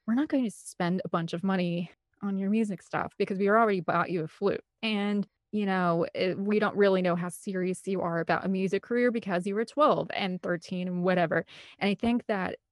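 A woman's voice: mid-range voice, fairly even intonation, fairly quick, no echo, clean studio sound. A woman talking casually and at length as an interview guest, with a gushing, rambling enthusiasm. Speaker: interview guest, film composer and director.

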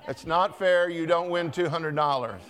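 A man's voice: on the high side, normal speed, a bit bright. A man, a pastor, teaching a Bible lesson in church, quizzing the congregation and calling on people by name. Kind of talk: interactive Bible teaching with quiz questions.

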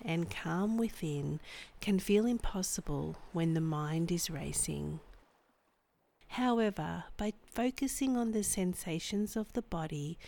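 A woman guiding a meditation in a soft, breathy, soothing voice with measured delivery and long pauses. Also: fairly low pitch. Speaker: meditation guide and podcast host. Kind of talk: guided body scan meditation, scripted narration.

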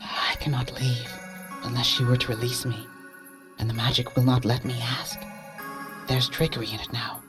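A man voicing a gentle male wind sprite familiar, speaking in a soft, breathy whisper. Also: mid-range voice, little pitch movement, normal speed, over music, pitched above the performer's own voice, close to an everyday voice. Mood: solemn.

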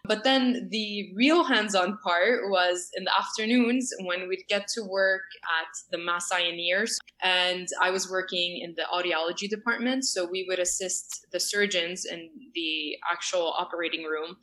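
Young woman telling her story, low voice. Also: normal speed, few pauses, pushed and bright, slight background noise. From Syria. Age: young adult. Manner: rambling, self-correcting storyteller. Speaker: guest. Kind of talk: conversational interview.

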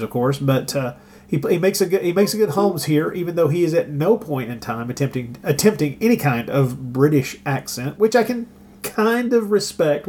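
A man's voice: a little high, a little mellow. A man co-hosting a podcast with casual, rambling, wry commentary. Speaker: podcast co-host.

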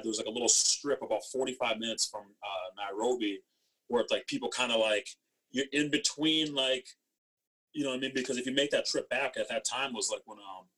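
A man's voice: mid-range voice, fairly bright tone, poor audio. A young man from East Africa talking casually as an interview guest, rambling and slangy, often checking that he's understood. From East Africa, born in Ethiopia. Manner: rambling, slangy, frequent "you know what I mean". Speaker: interview guest, former athlete. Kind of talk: casual conversational storytelling.

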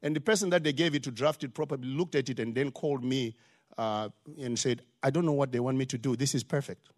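A man's voice: medium pitch, fast, darkish tone. An older man from Zimbabwe with a Zimbabwean accent, preaching a sermon in an emphatic style that builds to rising exclamations. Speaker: pastor.

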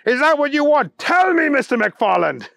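Man playing a high-pitched, indignant, pompous storybook bunny. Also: expressive, normal speed, no echo, clean studio sound. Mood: exasperated, lecturing. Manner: prim, formal, lecturing. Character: indignant, fussy, pompous talking Easter Bunny, male. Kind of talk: children's storytelling character voice.

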